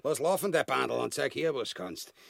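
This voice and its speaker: A man's voice: medium pitch, lively intonation, moderate pace, creaky, a bit bright, dry recording, studio quality. An actor with an Austrian accent playing a pompous, crude, bloodthirsty buffoon king, ranting in a grotesque comedy radio play. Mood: smug, sardonic. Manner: ranting, blustering, exclamatory.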